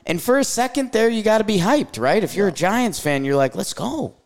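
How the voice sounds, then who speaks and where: A young man co-hosting a casual baseball podcast, recapping results with a jokey, quick manner. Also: sits high, lively intonation, faint music behind. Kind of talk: casual sports chat.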